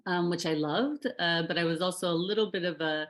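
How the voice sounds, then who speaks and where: A woman's voice: fairly low pitch, fairly quick. A middle-aged woman researcher reflecting on her academic path in an interview, thoughtful, with many fillers and restarts.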